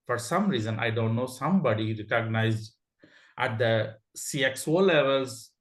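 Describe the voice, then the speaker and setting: A man's voice: mid-range voice, lively intonation, normal speed. A man with an Indian accent eagerly sharing a story on a video call, laughing and restarting his sentences. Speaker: club member sharing a story. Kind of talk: casual meeting talk. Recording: echoey room.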